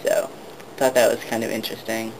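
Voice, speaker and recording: A man's voice: fairly low pitch, a little fast, low-quality recording. A young man talking casually to the camera, hesitant and full of ums and restarts. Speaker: vlogger. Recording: steady background noise.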